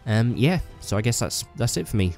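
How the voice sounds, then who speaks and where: A man's voice: medium pitch, very animated, fairly quick, a little mellow, soft background music. A man with a cold, sounding a bit bunged up, chatting casually and enthusiastically to his channel viewers, rambling with fillers. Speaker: YouTuber. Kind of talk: casual hobby vlog talk.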